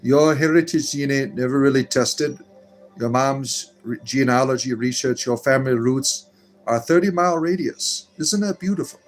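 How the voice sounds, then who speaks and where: A man's voice: medium pitch, moderate pace, faint music behind. A man hosting a live stream, reading viewer comments aloud and answering them in a meandering, chatty way. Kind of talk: casual live-stream chat.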